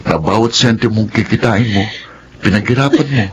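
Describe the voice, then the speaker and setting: Man acting an earnest low-voiced Filipino suitor. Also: moderate pace, a little hiss or hum, natural voice. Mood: urging. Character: earnest, devoted male suitor urging independence. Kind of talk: Filipino radio drama acting.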